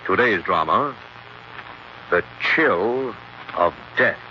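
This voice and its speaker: A man's voice: lowish voice, expressive, very slow, dull audio, very noisy. A man acting in a 1940s radio drama style, on an old broadcast recording.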